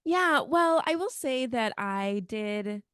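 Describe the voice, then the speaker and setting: A woman's voice: fairly high pitch, lively intonation, takes it slowly, a bit bright, clear, resonant tone, no echo, clean studio sound. An American woman co-hosting a casual podcast, telling family stories in a reflective way with easy fillers. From United States. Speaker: podcast co-host. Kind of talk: casual conversation.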